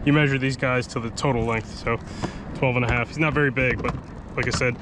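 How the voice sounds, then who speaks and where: A man's voice: medium pitch, fairly quick. Man fishing from a kayak at sea. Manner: relaxed, thinking aloud, unsure about the catch. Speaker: fishing vlogger. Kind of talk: casual running commentary while fishing. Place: kayak on open sea, outdoors. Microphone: action camera mounted on kayak. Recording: outdoor action-cam audio with wind and water noise. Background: wind and water noise.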